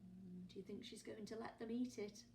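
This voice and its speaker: A woman's voice: mid-range voice, fairly even intonation, moderate pace, mellow, a little hiss or hum. A woman, a primary teacher reading a picture book to young children, asking them questions expressively. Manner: asks listeners questions, gasps and whispers expressively. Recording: roomy echo, distant microphone.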